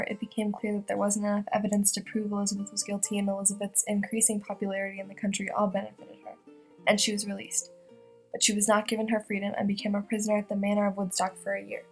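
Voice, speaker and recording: Casting: young woman, newsreel-style reporter, fast even read with little pitch movement, guitar music underneath. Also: low-quality recording. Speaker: student presenter playing a news reporter. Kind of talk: scripted newsreel narration.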